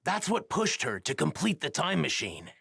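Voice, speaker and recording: An actor playing an earnest, grave young warrior from a doomed future in an anime video game dub, speaking politely and deliberately. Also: male voice, a little high, huge pitch swings, vocal fry, fairly bright tone, slightly rough, no echo, studio quality, heavily acted. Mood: sincere.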